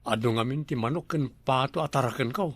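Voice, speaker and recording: A man's voice: mid-range voice, a little fast, fairly bright tone, breathy edge, no echo. A young man playing a village suitor with an easygoing, joking way of talking.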